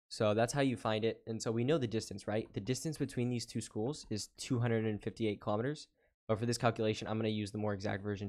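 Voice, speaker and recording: A man working through a math word problem step by step on a whiteboard, explaining calmly with frequent "uh" fillers. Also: on the low side. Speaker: math tutor. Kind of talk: whiteboard lesson walkthrough.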